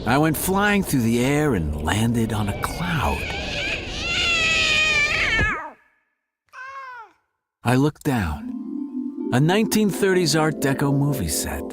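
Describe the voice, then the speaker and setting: A man's voice: mid-range voice, wildly swooping pitch, measured pace. A man playing a wry, world-weary male cat who narrates a film-noir detective audio drama in a theatrical way. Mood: awed. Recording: studio drama over loud music bed.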